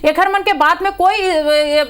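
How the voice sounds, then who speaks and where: Very high-pitched argumentative woman, Chhattisgarh accent. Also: fairly even intonation, slow pace, fairly bright tone. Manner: argumentative, rhetorical. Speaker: political party spokesperson. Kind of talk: political TV debate.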